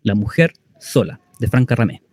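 A man hosts a radio program and introduces a performance. His voice is dark and mellow, with a relaxed presenting delivery. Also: fairly low pitch, normal speed, no echo. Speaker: radio host introducing a play.

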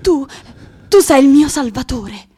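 An actress playing a meek, devoted young woman in a radio drama, soft and breathy, hesitant and tender. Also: high-pitched, expressive, unhurried. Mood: tender, pleading. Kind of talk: theatrical radio drama acting.

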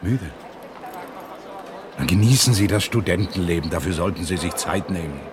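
Fatherly older professor, male actor: deep voice, disapproving and emphatic, radio drama with noisy street behind. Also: moderate pace, close to an everyday voice. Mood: concerned, admonishing. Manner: admonishing, emphatic. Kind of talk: German radio drama acting.

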